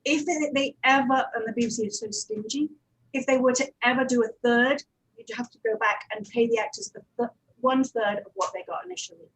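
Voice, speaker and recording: Female panelist, informal discussion, slightly high voice with a rough, husky grain. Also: brisk, poor audio. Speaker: panelist, audio drama producer and coach.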